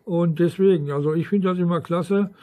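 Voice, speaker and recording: A man's voice: sits high, fairly even intonation, a little fast, mellow. A man chatting casually as an amateur radio operator, rambling along and often tacking questions onto his sentences. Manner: rambling, frequent tag questions. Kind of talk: casual ham radio chat.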